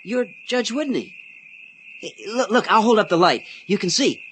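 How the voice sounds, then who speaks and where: A man's voice: high-pitched, lively intonation, moderate pace, fairly bright tone, slight background noise. An actor in a radio drama playing an eager, chatty young man, boyish, polite and rambling. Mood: pleading, excited. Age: young adult. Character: eager, chatty young male Senate page.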